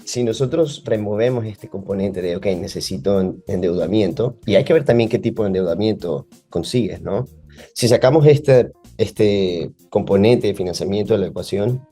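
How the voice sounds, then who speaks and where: A man with a low, dark, mellow voice and an Ecuadorian Spanish accent. He explains things at length and fills the gaps with many hesitations. Faint music plays behind him. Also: husky. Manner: explanatory, uses many fillers. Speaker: guest. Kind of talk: podcast interview.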